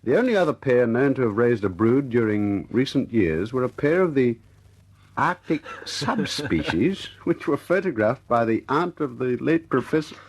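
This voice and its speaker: A man acting in a radio drama as a cocky, jovial air force officer and ladies' man, husky-voiced and playful. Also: medium pitch, big pitch swings, normal speed, slight background noise. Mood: amused, mock-solemn.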